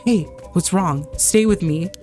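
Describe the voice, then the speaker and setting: Woman acting as young man, earnest, anxious, halting, lowish pitch, slow, visual novel horror, music behind. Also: natural voice. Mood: alarmed, worried.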